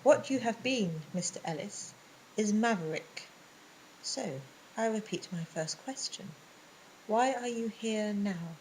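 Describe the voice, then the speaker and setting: A woman voicing a stern, ponderous middle-aged male vicar, speaking in a measured, disapproving way. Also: expressive, darkish tone, a little hiss or hum, poor audio. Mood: cold. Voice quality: low and ponderous. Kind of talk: audiobook reading.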